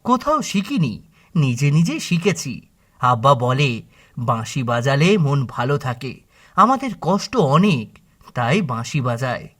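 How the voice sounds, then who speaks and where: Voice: medium pitch, big pitch swings, a little slow, a bit bright. A performer playing a friendly, hopeful village cowherd boy in a fairy-tale cartoon, youthful, open and reassuring.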